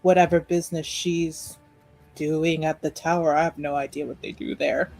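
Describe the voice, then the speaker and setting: A woman's voice: low voice, flat delivery, normal speed, darkish tone, no room sound, faint music behind. A woman playing a polite, gracious noblewoman host with formal, practiced courtesy in a fantasy tabletop game. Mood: gracious, courteous.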